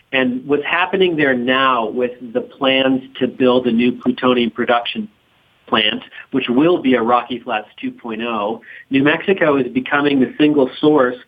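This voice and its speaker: A man's voice: mid-range voice, narrow, tinny audio. Man in an interview, thin band-limited audio. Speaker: interviewed documentary producer. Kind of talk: informal interview discussion.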